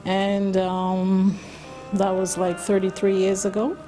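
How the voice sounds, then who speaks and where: A woman's voice: medium pitch, completely flat delivery, slow, rough recording. A middle-aged woman from Barbados with a Caribbean accent, telling a personal story in an interview. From Barbados, born in Saint Vincent. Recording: faint music behind the voice.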